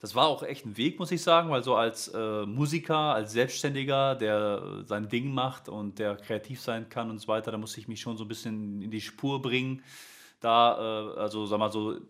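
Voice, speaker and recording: A man's voice: on the low side, normal speed, fairly bright tone. A man, a music teacher, chatting casually as an interview guest, rambling with many fillers and restarts.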